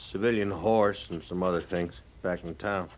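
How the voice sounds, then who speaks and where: An actor playing a wry, laconic frontier drifter with a folksy, evasive manner in an old radio western. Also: male voice, deep voice, fairly even intonation, normal speed, natural voice, low-quality recording. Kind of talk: 1950s radio western acting. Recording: old radio recording with faint noise.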